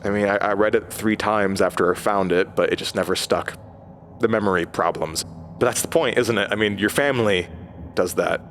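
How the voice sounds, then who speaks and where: Troubled wary man, kinda low voice, halting questions, bit of background noise, horror audio drama. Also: fairly bright tone. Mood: insistent. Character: troubled, wary man demanding answers about his past.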